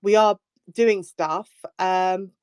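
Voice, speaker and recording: A woman's voice: mid-range voice, steady tone, very slow, darkish tone, smooth, no room sound. A woman speaking in a casual online fan chat, explaining plans in a plain, reassuring way. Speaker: fan group organiser.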